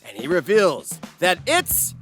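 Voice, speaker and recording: A man's voice: high-pitched, wildly swooping pitch, slow pace, fairly bright tone. A man narrating an anime parody recap as scripted comedy, mock-dramatic and wry. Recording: music behind the voice.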